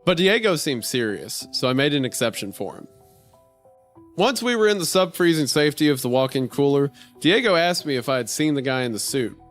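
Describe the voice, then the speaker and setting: A man reading as a deadpan gas station clerk, telling a strange story in the first person in a dry way. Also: medium pitch, a little fast, close to an everyday voice. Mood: dry, matter-of-fact. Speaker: podcast host reading a horror story aloud. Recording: music behind the voice.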